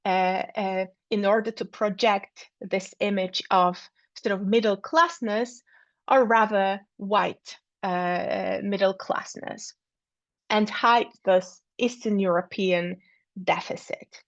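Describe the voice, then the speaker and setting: A woman giving an academic talk over a video call, halting often with fillers and repeated words. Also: mid-range voice, steady tone, dry recording. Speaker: academic speaker. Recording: dull lo-fi sound.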